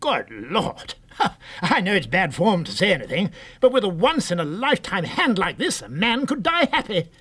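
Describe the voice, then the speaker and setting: An actor playing an excitable, articulate middle-aged British husband in a science-fiction radio drama. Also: male voice, high voice, moderate pace, breathy edge, exaggerated voice. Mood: elated. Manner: theatrical, articulate, indignant.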